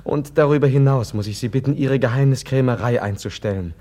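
An actor playing a gentle, nervous, easily exasperated prince in a radio play, earnest and formal in his agitated way of speaking. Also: mid-range voice, brisk, close to an everyday voice. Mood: irritated, firm. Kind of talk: German radio play acting.

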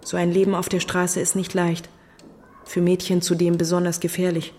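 A woman's voice: lowish voice, completely flat delivery, normal speed, warm tone, slight background noise, lightly acted. A woman playing a stern but kindly middle-aged orphanage matron in an audio drama, grave and formal. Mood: grave, sorrowful. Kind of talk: German audio drama acting.

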